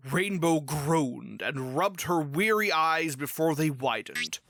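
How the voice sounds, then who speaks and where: A narrator reading a scripted fantasy story in an expressive storybook delivery. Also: medium pitch, takes it slowly, no room sound.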